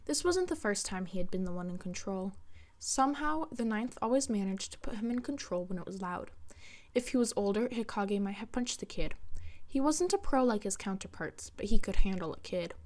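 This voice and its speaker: A woman's voice: medium pitch, a little mellow. A woman reading fan fiction aloud as scripted story narration. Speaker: fan fiction audiobook reader.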